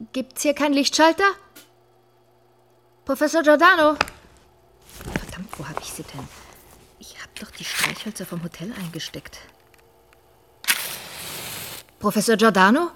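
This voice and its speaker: An actress playing a bold young woman journalist in a radio thriller, often speaking in breathy, urgent tones. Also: high voice, expressive, normal speed, long pauses, fairly bright tone, a little hiss or hum. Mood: uneasy, searching. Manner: breathy whispering to herself, urgent calling out. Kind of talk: German radio thriller acting.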